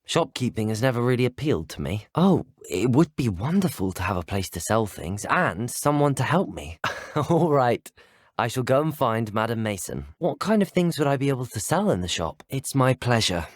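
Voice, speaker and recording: An actor playing a polite, earnest teenage student wizard in a video game, with a British accent and courteous, articulate speech. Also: male voice, mid-range voice, very animated, moderate pace, no room sound, studio quality. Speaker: video game player character. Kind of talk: scripted game dialogue.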